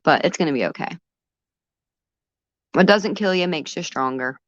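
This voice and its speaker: A woman's voice: lowish voice, lively intonation, normal speed, no room sound. A woman chatting casually as a guest on a livestream, heard through dull, lo-fi stream audio.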